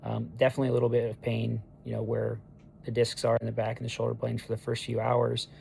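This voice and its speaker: Hoarse, gravelly young man, flat delivery. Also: mid-range voice, a little hiss or hum. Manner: halting, with many ums and restarts. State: hoarse after neck surgery. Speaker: patient sharing a recovery diary. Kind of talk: casual video diary. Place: hospital room on discharge day.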